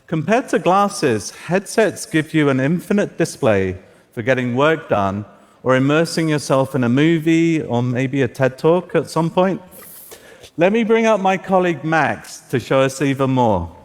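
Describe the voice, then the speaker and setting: A man's voice: a little high, expressive, unhurried. A man whose first language is Farsi, presenting a live tech demo on stage in an upbeat, guiding way. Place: stage in a large auditorium. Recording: echoey hall.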